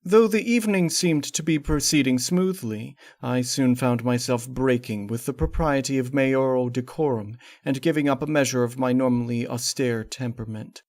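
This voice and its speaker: Male narrator for gothic horror fiction: mid-range voice, measured and formal, very dry recording. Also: medium pitch, darkish tone, dry recording. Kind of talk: dramatic scripted story reading.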